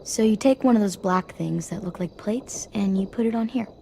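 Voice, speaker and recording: A woman's voice: medium pitch, moderate pace, gravelly, dark tone, close to an everyday voice. A woman voicing a friendly young child in a video game, explaining things simply and helpfully. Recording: faint noise, insects chirping.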